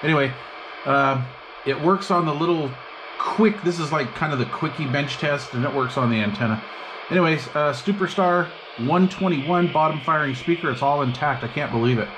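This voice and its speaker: A man's voice: a little high, moderate pace. Rambling man over noisy radio static. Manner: rambling, thinking aloud, slangy. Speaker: hobbyist host demonstrating and testing an old CB radio. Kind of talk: casual unscripted bench-test chat. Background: CB radio receiver hiss and chatter.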